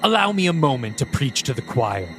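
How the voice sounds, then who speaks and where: A man's voice: medium pitch, very animated, brisk, over music, close to an everyday voice. A man voicing a mocking male demon who has possessed a priest and taunts a congregation with theatrical flair. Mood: preaching.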